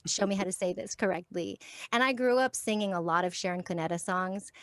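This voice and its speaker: A middle-aged woman from the United States talking casually on a video call from her home, halting and restarting, laughing easily. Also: on the low side, dry recording, studio quality. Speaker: voice actor guest. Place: home room with sound panels.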